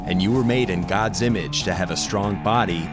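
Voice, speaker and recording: A man's voice: low voice, moderate pace. A man reading a scripted promotional podcast intro. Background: loud plucked guitar music.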